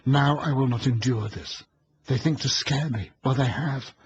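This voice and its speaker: A male reader voicing a frightened young woman who whispers in dread and then rises into loud defiance. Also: medium pitch, normal speed, slightly rough, highs cut off, pitched above the performer's own voice. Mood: fierce defiance.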